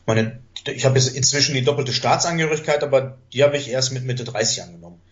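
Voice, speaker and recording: A man in his fifties, a podcast guest with Greek as his mother tongue, talks at a middle pitch through a muffled, lo-fi recording. He tells his story in a loose, rambling way, often stopping on fillers and correcting himself mid-word. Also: fast. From Germany. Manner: rambling, many hesitation fillers and self-corrections. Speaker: podcast guest, chess master. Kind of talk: casual conversational storytelling. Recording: dull lo-fi podcast audio.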